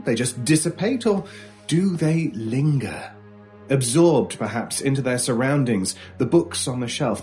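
Male actor as mysterious stranger, medium pitch, deliberate, theatrical, intense, radio horror drama, music behind. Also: a little mellow, close to an everyday voice. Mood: brooding, musing. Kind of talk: old-time radio horror acting. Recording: music under the voice.

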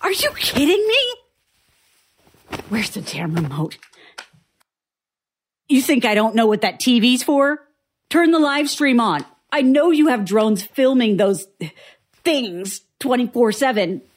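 A woman's voice: a little high, very animated, slow pace, long pauses, full character voice. A woman playing a bitter, impatient survivor in her thirties in an audio drama, curt and sarcastic in a natural style. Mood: angry, indignant.

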